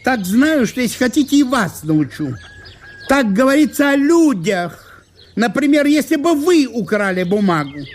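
A man's voice: very high voice, big pitch swings, normal speed, slight background noise, voice pushed up. A man playing a stubborn provincial landowner, plaintive and argumentative, in a dramatized literary story. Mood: indignant. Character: stubborn, indignant provincial landowner, protesting innocently.

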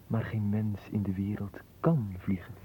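An actor playing an earnest, slow-witted lovesick suitor in a radio play, hesitant, plaintive and easily hurt. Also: male voice, fairly low pitch, level tone, mellow, husky. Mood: puzzled. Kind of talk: Dutch radio play acting. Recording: muffled, noisy old radio recording.

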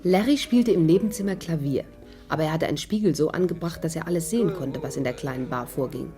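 A woman's voice: low-pitched, quick pace, music in the background, natural voice. An actress playing a shrewd young woman who narrates her adventure in a composed, wry way in a 1950s radio drama. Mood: wry, watchful. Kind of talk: scripted narration, 1950s German radio play.

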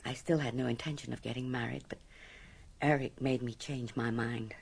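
Haunted married woman, low voice, middle aged, scared and confiding, dramatic pauses, radio play. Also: fairly even intonation, creaky, a little mellow, breathy edge, exaggerated voice. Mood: tense recounting. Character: frightened, haunted married woman confiding her secret past.

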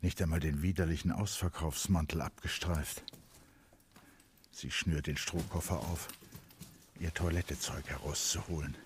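A man narrating a literary radio drama in a measured, precise, dramatic manner, as an intimate observer. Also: rumbling low, steady tone, slight background noise. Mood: tense, observant.

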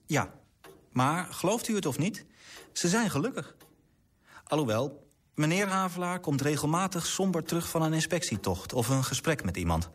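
Radio play role: earnest, politely persistent male clerk, formal wording, highly varied melody, rough-edged tone. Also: very animated, normal speed. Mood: polite, correcting. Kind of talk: radio drama acting.

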